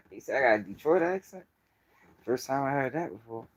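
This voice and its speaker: A man's voice: mid-range voice, lively intonation, fairly quick, slightly rough. A man with a Baltimore accent hosting a casual livestream, talking to viewers in loose, profane street slang. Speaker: host of a commentary channel.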